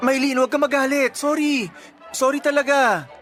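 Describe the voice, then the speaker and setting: A man's voice: extremely high pitch, fairly even intonation, moderate pace, fairly bright tone, soft background music. An actor playing a giddy, bashful young suitor in a radio drama, flustered and eager in his delivery. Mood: flustered, apologetic. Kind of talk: Tagalog radio drama acting.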